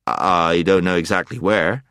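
A man's voice: sits low, fairly even intonation, unhurried, fairly bright tone, smooth, no room sound, studio quality. A man voicing a stubborn, gloomy marsh creature in a storybook, plain-spoken and dogged, as if short of air. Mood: stout, stubborn.

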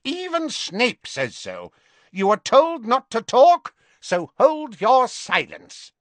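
Man playing a zealous scornful witch, high and harsh, swooping, dry studio. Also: huge pitch swings, slow, no room sound, full character voice. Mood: triumphant. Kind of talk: audiobook character voice.

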